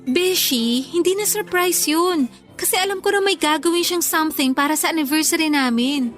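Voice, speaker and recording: A woman's voice: high-pitched, moderate pace, a bit bright. An actress playing a young working woman, a resigned and sulky girlfriend, in conversational radio drama dialogue. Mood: hurt, sulky. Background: background music.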